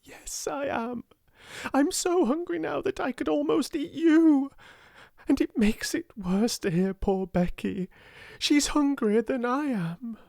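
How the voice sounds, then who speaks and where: A woman's voice: low-pitched, normal speed, warm tone, dry recording, studio quality, pitched above the performer's own voice, close to an everyday voice. A woman voicing a proud, passionate young schoolgirl in a storybook, speaking fervently and emphatically.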